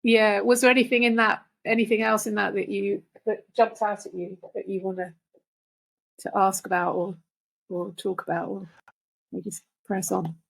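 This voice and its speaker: A middle-aged or older woman speaks in relaxed conversation as a guest reader. Her voice is raspy and creaky at an average pitch, and she thinks aloud in halting, reflective phrases. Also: poor audio. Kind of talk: casual conversational discussion.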